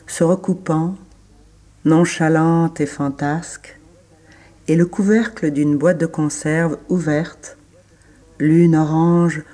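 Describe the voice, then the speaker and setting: A man with a fairly high, mellow voice recites literary prose. He moves very slowly, setting down each short phrase on its own and leaving space before the next. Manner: measured, pausing between short phrases. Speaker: reader in a radio literary production.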